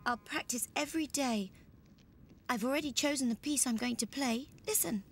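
A woman's voice: high-pitched, moderate pace, a little hiss or hum. A woman playing a sweet, devoted, childlike young girl in a video game cutscene, speaking earnestly. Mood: earnest, hopeful.

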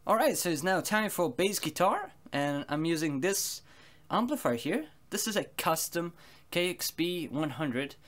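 A man giving an informal explainer as a home-studio musician, speaking in a halting way with many filler pauses. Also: on the high side, big pitch swings, a bit bright. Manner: halting, hesitant delivery with frequent long filler pauses. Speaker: home-studio musician presenting his recording process.

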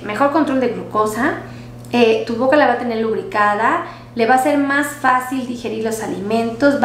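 High-voiced woman doctor, echoey room. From Mexico. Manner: warm, repetitive, uses diminutives. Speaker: doctor giving health advice. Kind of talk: casual health talk to viewers. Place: small clinic room. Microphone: headset mic. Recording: echoey room with faint hum.

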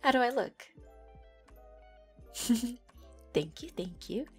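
A giggly, teasing young neko girlfriend, played by a woman in an audio roleplay, her voice bouncing up and down with lively swings. She takes her time, speaking unhurried and soft, with faint music underneath. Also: a little high. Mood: giggly affectionate.

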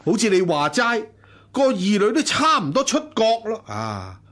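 An actor playing a wealthy, generous, bossy household master in a period radio drama, with a hearty manner. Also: male voice, sits high, wildly swooping pitch, unhurried, fairly bright tone, exaggerated voice. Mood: generous, insistent. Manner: hearty, brushing off thanks. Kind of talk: Cantonese radio drama acting.

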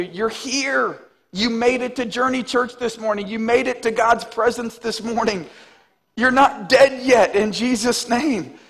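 A man in his thirties, a pastor, preaching an animated sermon on a church stage. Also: very high voice. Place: large church stage, indoors. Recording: long hall reverb.

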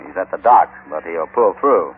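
An actor playing a calm, commanding cowboy hero in a radio western, with an assured American voice. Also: male voice, sits low, normal speed, breathy edge, exaggerated voice. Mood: firm, probing. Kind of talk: vintage radio western acting. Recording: tinny, noisy old radio recording.

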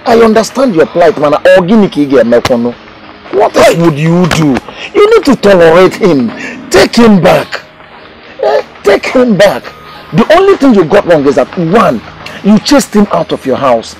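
Very animated, high-voiced Nigerian man, admonishing. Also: normal speed, music in the background, full character voice, low-quality recording. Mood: reasoning, urging. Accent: Nigerian Igbo. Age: middle-aged. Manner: insistent, admonishing. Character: reasonable, admonishing village elder brother figure. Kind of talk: Nollywood film drama acting.

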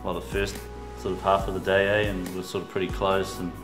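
A man's voice: sits low, monotone, quick pace. A man with a New Zealand accent, talking about a fishing trip in an easygoing drawl, tagging lines with "eh". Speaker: fisherman. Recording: roomy sound with music behind.

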